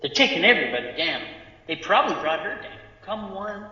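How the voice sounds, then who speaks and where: A man's voice: high-pitched, sing-song, fairly quick, pushed and bright, distant mic, muffled recording, exaggerated voice. A man playing an uneasy, hesitant trooper in an audio drama, speaking colloquially in an echoey room. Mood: concerned. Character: uneasy young male SWAT trooper, hesitant, anxious.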